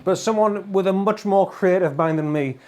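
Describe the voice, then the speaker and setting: An enthusiastic British man talks through guitar gear in an informal, chatty way. His voice sits a little higher than most men's. Faint electric guitar music is heard behind him. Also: moderate pace, darkish tone. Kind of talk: informal product demonstration. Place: small home studio full of guitars and amps. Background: electric guitar playing.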